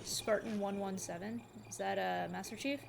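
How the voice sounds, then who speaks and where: A woman's voice: mid-range voice, steady tone, normal speed, gravelly, noisy background. A woman giving casual live reaction commentary as a video host, musing aloud and asking herself questions.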